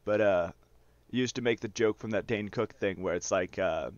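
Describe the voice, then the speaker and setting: A man's voice: fairly low pitch, moderate pace, dry recording, dull audio. A man casually telling rambling, profane personal stories as a streamer over gameplay. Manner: rambling, profane, often chuckles while talking.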